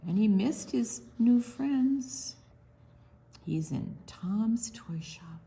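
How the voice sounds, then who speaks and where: Very animated woman reading a children's story. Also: on the high side, very slow, vocal fry. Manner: animated, explains pictures simply, asks children questions. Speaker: children's story time reader. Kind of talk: read-aloud picture book storytelling with asides to young children.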